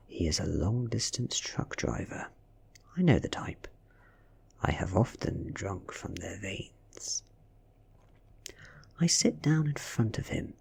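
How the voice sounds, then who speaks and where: A British man reads a story in a hushed ASMR style. His voice is very breathy and whispery, sitting low in pitch. He takes his time with each phrase, slow and deliberate. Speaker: audiobook reader. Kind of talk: soft-spoken ASMR story reading.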